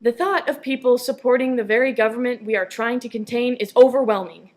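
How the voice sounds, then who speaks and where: A woman's voice: on the high side, brisk, a little mellow. A young woman reading scripted news stories as a newscast anchor. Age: young adult.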